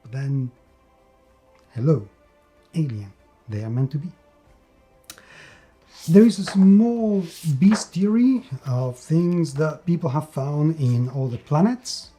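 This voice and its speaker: A man with a fairly high yet very mellow, muted voice talks through an unscripted game review. He is enthusiastic and emphatic, often doubling words for stress. Faint music plays behind him. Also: very animated, slow pace, pauses often.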